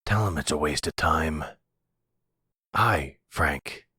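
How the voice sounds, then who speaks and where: A man playing a weary, bitter exiled father in hiding, with a deep husky voice, in a dramatic audio roleplay. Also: takes it slowly, sharp tone, no echo, clean studio sound, heavily acted. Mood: weary, regretful.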